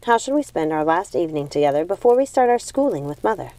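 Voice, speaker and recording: A woman as a calm, reasoning young witch sister. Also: lowish voice, fast, dry recording. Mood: firm. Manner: reassuring, reasoning.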